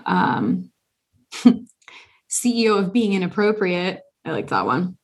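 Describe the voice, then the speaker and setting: A woman co-hosting a podcast in casual chat, blunt and animated, swearing freely. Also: mid-range voice, slow. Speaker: podcast co-host.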